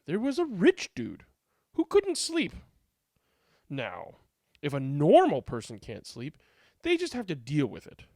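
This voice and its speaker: A woman narrates a children's storybook with huge swings in pitch. She takes her time and leans into the comic drama, stressing words emphatically. The sound is dry and close. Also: a little mellow, no room sound. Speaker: read-aloud narrator. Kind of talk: animated children's storybook reading.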